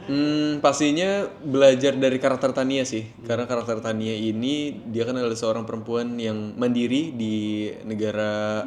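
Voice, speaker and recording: A man's voice: mid-range voice, little pitch movement, normal speed, few pauses, a little mellow. A man giving a casual interview as an actor, hesitantly searching for his words. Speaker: actor promoting a film. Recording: faint background noise and ambience.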